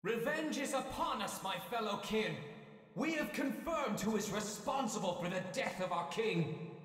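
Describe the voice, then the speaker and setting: A man's voice: sits high, lively intonation, moderate pace. A man voicing a solemn, vengeful young prince making a formal, declamatory speech in a video game. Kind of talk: video game cutscene acting. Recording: very echoey hall, distant, loud noise.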